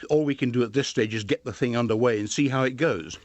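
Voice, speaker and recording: A man's voice: medium pitch, quick pace, no echo. An older man, talking as an interview guest. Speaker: interview guest, former newspaper editor. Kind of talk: interview talk.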